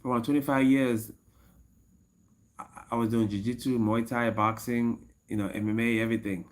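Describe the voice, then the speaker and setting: A man in his early fifties chats loosely with his live audience, looping back over the same points and breaking into laughs. He talks like a coach promoting his next class, relaxed and unscripted, at an ordinary middle pitch for a man. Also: normal speed. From United States. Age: 50s. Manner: rambling, repeats himself, laughs often. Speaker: MMA fighter and coach streaming live. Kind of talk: casual livestream chat.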